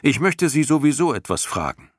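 A man reading an audiobook, voicing a fearless, earnest young boy hero who asks questions calmly. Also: on the high side, fairly quick, dry recording, studio quality, voice pushed up. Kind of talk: audiobook character voice.

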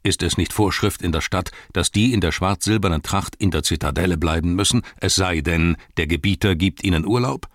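A man voicing a grave, low-voiced old wizard. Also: a little fast, few pauses, no room sound, clean studio sound. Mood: stern. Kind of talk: fantasy audiobook character reading.

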